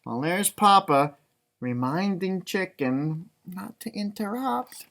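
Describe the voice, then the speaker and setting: Male children's read-aloud host: expressive, unhurried, playful, chatty asides. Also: high voice, creaky. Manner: expressive, playful, wondering aloud to young listeners. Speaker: storytime read-aloud host, music teacher.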